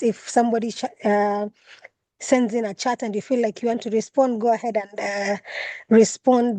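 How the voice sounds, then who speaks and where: A woman's voice: on the high side, a little mellow, no room sound. A woman from Kenya hosting an online meeting as regional coordinator, speaking with frequent fillers and restarts over a video call. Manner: halting, many fillers and restarts.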